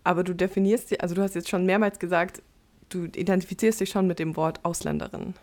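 A woman's voice: fairly low pitch, little pitch movement, fast, a little mellow. A young woman hosting an interview in a casual, conversational way. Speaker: interviewer, host.

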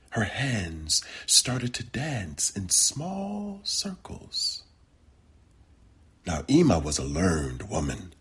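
A man with a deep voice, heavily husky and breathy. He talks slowly as a guest storyteller, measuring out each phrase.

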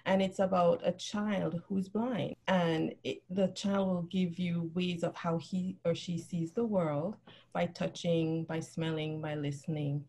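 A woman talking earnestly and reflectively as a guest on a casual podcast, pausing often. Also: on the low side, little pitch movement, a little mellow. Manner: earnest, reflective, frequent ums. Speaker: children's book author, guest.

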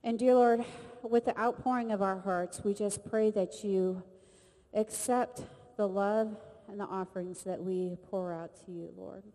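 A woman's voice: medium pitch, fairly even intonation, unhurried. A woman leading a church women's gathering, talking earnestly and conversationally and then praying aloud. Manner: earnest, conversational, warm address to the group. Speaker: ministry leader leading a service. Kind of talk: church service hosting and prayer. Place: chapel or church hall. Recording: long reverb of a large hall.

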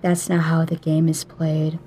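A woman's voice: low-pitched, completely flat delivery, unhurried, mellow. A woman voicing a menacing female slasher killer who taunts her prey in an audio roleplay, with faint music behind. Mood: gloating cruelty. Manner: taunting, teasing and cruel.

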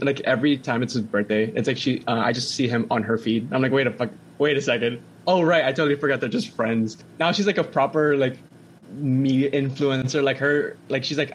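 A man's voice: medium pitch, slight background noise. A young man from Indonesia co-hosting a casual podcast, telling stories in a rambling way with lots of "like" and laughter. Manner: rambling, heavy filler "like", laughs often. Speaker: podcast co-host. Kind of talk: casual chat.

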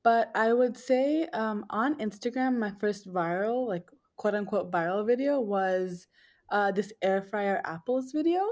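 A woman's voice: medium pitch, measured pace, darkish tone. A woman in her twenties with a nasal voice, answering casually as a podcast guest. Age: 20s. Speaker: podcast guest, food blogger. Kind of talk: casual conversational interview.

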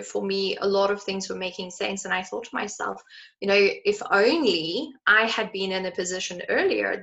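Gravelly-voiced woman therapist, earnest. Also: mid-range voice, sharp tone, rough recording. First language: not German. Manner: earnest, thoughtful, measured. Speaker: therapist, podcast guest. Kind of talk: reflective interview answer.

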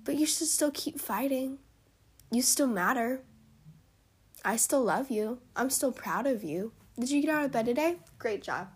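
A hoarse young woman hosting a solo podcast, speaking in an encouraging, heartfelt way, her voice cutting in and out and often dropping to a whisper. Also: high voice, expressive, unhurried. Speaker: podcast host. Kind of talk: solo motivational podcast talk.

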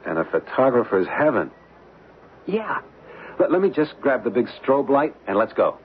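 An actor playing an easygoing, affectionate young American husband and keen photographer in an old radio drama. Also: male voice, mid-range voice, sing-song, moderate pace, lightly acted. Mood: amused, eager. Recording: muffled old radio broadcast with hiss.

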